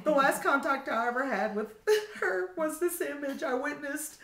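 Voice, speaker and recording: A woman's voice: high voice, lively intonation, moderate pace, a bit bright. A woman reading a comic story aloud to friends, breaking into laughter as she goes. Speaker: reader. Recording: noisy, echoey home video recording. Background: listeners laughing and exclaiming.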